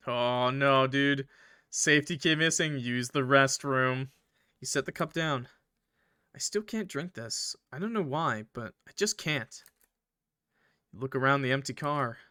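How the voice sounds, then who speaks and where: A man doing a visual novel let's play, reading the text aloud and reacting in an informal, expressive way. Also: medium pitch, unhurried, smooth, no echo, studio quality. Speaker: gaming video creator.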